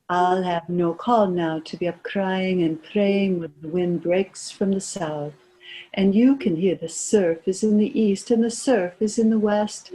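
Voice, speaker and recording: A woman's voice: mid-range voice, dark tone, lightly acted, low-quality recording. A woman playing a grieving, weary old mother in a lyrical, lamenting stage drama performance.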